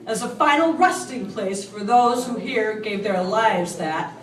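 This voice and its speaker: A woman with a medium-pitched voice recites a famous speech as an amateur reader. The recording is distant and full of room echo. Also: a little slow, a little hiss or hum. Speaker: amateur reader in a group reading. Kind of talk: public recitation of a historic speech. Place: indoors in a bar. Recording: echoey room, far from the mic.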